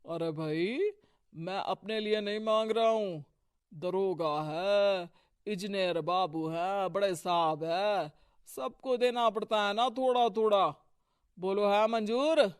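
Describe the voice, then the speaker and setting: A man voices a domineering small-time political husband in a cartoon moral tale. His voice is notably high and clean, and he speaks unhurriedly, with bossy self-importance. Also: slow, smooth, dry recording, studio quality. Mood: slyly persuasive. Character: domineering corrupt husband, local strongman who runs his wife's office. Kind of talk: acted dialogue in an animated moral story.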